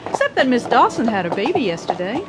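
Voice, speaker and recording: A woman's voice: fairly high pitch, big pitch swings, quick pace, over loud music. An actress playing a sassy, folksy small-town newspaperwoman who banters in a western radio drama. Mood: sassy, bantering.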